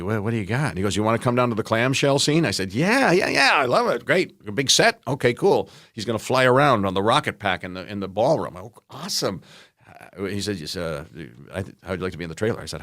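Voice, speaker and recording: A middle-aged man with a deep, low voice talks as a podcast guest, wandering through his stories with plenty of ums and false starts. The recording is dry and close. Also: fairly bright tone, dry recording. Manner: rambling, halting, lots of ums and restarts. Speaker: guest, actor and comedian. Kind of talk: casual chat.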